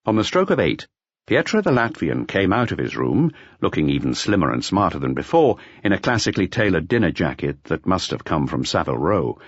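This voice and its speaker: Male audiobook narrator for crime fiction, notably deep, with animated intonation. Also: fairly quick, husky, dry recording, lo-fi sound. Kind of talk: scripted literary narration of a detective novel.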